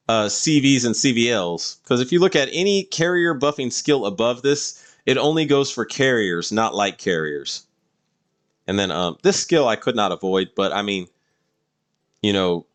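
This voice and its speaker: A man doing casual live-stream game commentary, explaining things to viewers in a rambling way. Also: medium pitch.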